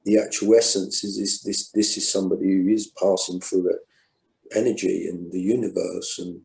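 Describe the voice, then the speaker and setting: Low mellow-voiced man. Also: steady tone, normal speed, gravelly, reverberant, poor audio. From England. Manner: thoughtful, many restarts and fillers. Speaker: guest poet. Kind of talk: reflective conversation.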